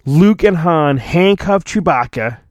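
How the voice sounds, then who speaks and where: A man's voice: on the high side, animated, slow pace. A man hosting a podcast, reading a storybook in a theatrical, mock-dramatic way and breaking off into rambling, sarcastic asides. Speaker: podcast host.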